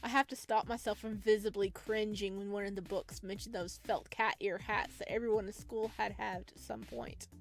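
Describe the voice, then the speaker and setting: A woman reading a visual novel aloud as a let's play host, dropping chatty, expressive reactions between the narration. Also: mid-range voice, moderate pace, creaky, hardly any pauses, faint music behind.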